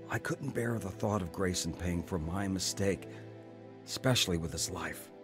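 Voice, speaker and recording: An actor playing an earnest, emotional brother telling of his ordeal in a radio drama, with halting delivery. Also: fairly low pitch, close to an everyday voice. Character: anguished, repentant brother narrating his blizzard ordeal. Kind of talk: radio drama acting and narration.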